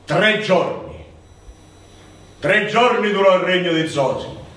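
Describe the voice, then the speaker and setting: A man's voice: high-pitched, measured pace, fairly bright tone. A man with a Sicilian accent acting and telling a folk tale on stage in an emphatic, declamatory way. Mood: storytelling. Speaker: stage actor and storyteller. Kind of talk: theatrical storytelling in Sicilian-flavoured Italian. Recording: very echoey hall, distant mic, faint noise.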